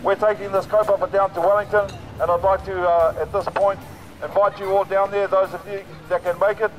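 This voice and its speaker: A hoarse man speaking at an outdoor protest rally through a megaphone, rousing and repetitive. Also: high-pitched, little pitch movement, brisk, soft background music, poor audio. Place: outdoors on a city street.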